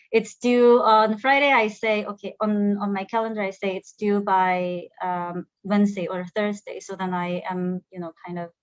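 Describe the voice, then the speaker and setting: Korean woman, non-native English, halting with lots of restarts, dry close sound, dull audio. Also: mid-range voice, no echo. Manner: hesitant, many fillers and restarts. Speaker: graduate alumna. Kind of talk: conversational panel interview.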